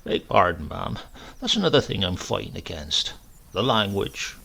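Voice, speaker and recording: Storybook rogue performed by a male reader: English countryman in his eighties, rustic accent, heavy gravel, swooping mock-pious deference. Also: lowish voice, very animated, bright, forceful tone, voice pushed down, heavily acted. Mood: mock remorse. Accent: rural English. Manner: rustic dialect, deferential, repeats himself slowly for effect.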